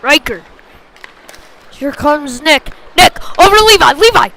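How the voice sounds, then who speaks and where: Female hockey play-by-play caller, very high voice, slow pacing, clipped phrasing, excitable on big plays. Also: gravelly, slight background noise. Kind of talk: live sports commentary.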